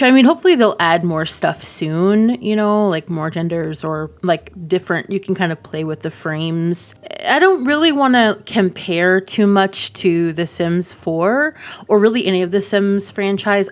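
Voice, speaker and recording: Female gaming creator, lowish voice, informal rambling commentary, conversational and unscripted. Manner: chatty, rambling, informal, frequent "like" asides. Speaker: gaming video creator and host. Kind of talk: casual gameplay commentary.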